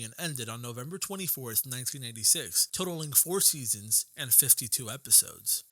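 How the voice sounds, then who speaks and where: A man's voice: on the high side, bright, forceful tone, dry recording. A young man reading scripted documentary narration for a video essay, recorded as a close voice-over.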